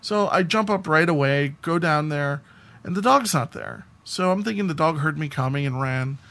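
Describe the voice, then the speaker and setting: A man's voice: on the high side, moderate pace, creaky, fairly bright tone. A man co-hosting a podcast, telling anecdotes in a casual, rambling conversational way. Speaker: podcast co-host.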